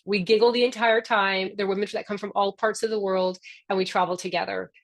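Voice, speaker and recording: A woman's voice: mid-range voice, steady tone, brisk, no room sound. A middle-aged woman whose first language is Spanish, the founder hosting a live online orientation in an upbeat, affectionate, chatty way.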